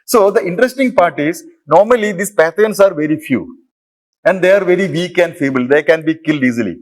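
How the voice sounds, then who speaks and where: Man, Malayalam-accented English, professor giving a lecture, slightly higher voice, explains step by step. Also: expressive, fairly quick. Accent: Malayalam-influenced Indian English. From Kerala, India. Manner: interactive, asks audience questions, explains step by step. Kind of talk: university lecture. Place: lecture hall.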